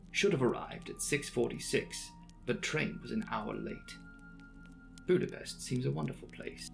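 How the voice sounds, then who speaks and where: A man's voice: mid-range voice, creaky. A man voicing an earnest, inquisitive young traveller reading out his journal in a precise, matter-of-fact way for a dramatic audiobook. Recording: music behind the voice.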